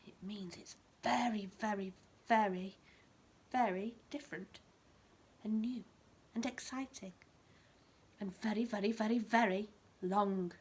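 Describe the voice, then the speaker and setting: A woman's voice: medium pitch, steady tone, unhurried, long pauses, breathy edge. A woman reading a storybook expressively to a small child, explaining things in simple words as a teacher would. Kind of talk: animated storybook read-aloud with asides explaining words.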